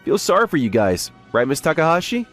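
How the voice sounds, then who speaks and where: A man's voice: fairly high pitch, sing-song, brisk, fairly bright tone, soft background music. A man playing an earnest young office worker who narrates and voices his story in a manga dub. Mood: confident, firm. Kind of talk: scripted first-person manga recap narration and dialogue.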